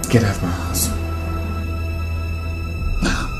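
A man's voice: slow pace, close to an everyday voice. An actor playing a bitter young man who turns hostile and orders a visitor out. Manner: bitter, dismissive, explodes into shouts. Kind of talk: Nollywood film acting. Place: indoor living room, film set. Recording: film soundtrack with loud music.

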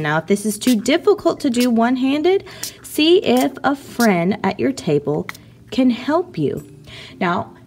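A woman art teacher giving a slow, patient, step-by-step lesson to children over a video of her hands at work. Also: fairly high pitch, a little mellow.

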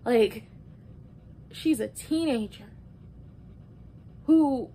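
A woman's voice: very slow, warm tone. A woman in her early twenties giving casual, opinionated and emphatic recap commentary, talking conversationally like a video blogger. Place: small bedroom, indoors. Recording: noisy room with low rumble.

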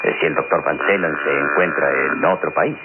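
An actor playing a grave, authoritative military general in an old radio serial, speaking formally and deliberately. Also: male voice, a bass voice. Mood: grave, worried. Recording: old radio recording, loud hum, muffled.

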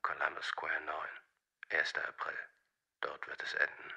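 An actor playing a desperate, secretive man in a German radio play, hushed and monotone, in a gravelly whisper. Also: very deep voice, moderate pace, heavily acted. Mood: ominous, desperate. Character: desperate, secretive man leaving an ominous message.

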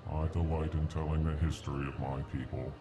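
An actor playing a huge, courteous alien ambassador in a video game, speaking in a formal deadpan monotone. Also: male voice, very deep voice, fairly quick, echoey room, muffled recording, music in the background. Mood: formal, placid. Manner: deadpan monotone, formal, announces each emotion before speaking. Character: slow, gentle, courteous male alien ambassador, huge and ponderous. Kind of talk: video game dialogue acting.

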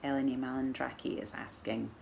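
A woman in her forties with a soft Edinburgh Scottish accent, chatting to camera at home and answering viewer questions in a thoughtful, measured way with gentle laughs. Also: very deep voice, fairly even intonation, creaky, a little hiss or hum. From Scotland. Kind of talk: casual chat to camera. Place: living room at home, indoors. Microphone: camera in front at arm's length.

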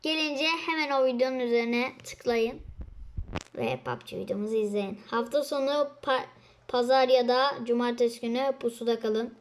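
Little girl doing gaming commentary, really high voice, chatty and excitable, casual. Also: normal speed. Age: child. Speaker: host of a gaming channel.

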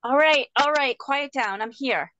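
A woman's voice: high-pitched, unhurried, sharp tone, dry recording, highs cut off. A woman playing an exasperated, no-nonsense heiress taking charge of her unruly family in a script table read. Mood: exasperated, firm. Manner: firm, no-nonsense.

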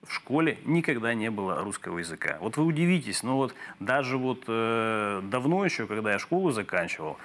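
A Kyiv-born man in his thirties hosting a studio talk show, pressing his argument in a halting way full of fillers, recorded on a lapel mic. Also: lowish voice, very animated, a bit bright. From Kyiv, Ukraine. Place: dark television studio.

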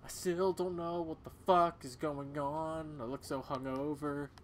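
A man's voice: on the high side, fairly even intonation, a little slow, a little hiss or hum. A man giving casual, blunt gameplay commentary as a let's player, swearing freely. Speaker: video game let's player.

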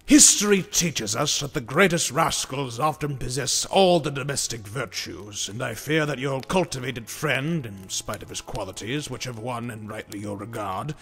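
An actor playing a courteous, earnest knightly saint in an audiobook drama, with formal, theatrical, archaic phrasing. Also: male voice, medium pitch, moderate pace, few pauses, a bit bright, slightly rough. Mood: grave. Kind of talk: amateur audiobook drama acting. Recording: long hall reverb, faint music.

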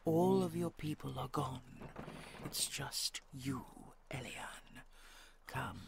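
A woman voicing a haughty, possessive dragon queen in an audio roleplay, regal and condescending, with a gravelly voice. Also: very deep voice, slow pace, background noise, full character voice. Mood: cool, commanding. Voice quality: gravelly, creaky. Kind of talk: dramatic audio roleplay acting.

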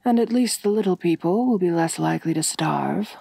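A woman playing an ancient, wealthy vampire mistress in an intimate ASMR roleplay, genteel and measured, doting yet gently dominant, with a soft breathy voice. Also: fairly low pitch, expressive, dry recording, exaggerated voice. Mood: poised, matter-of-fact.

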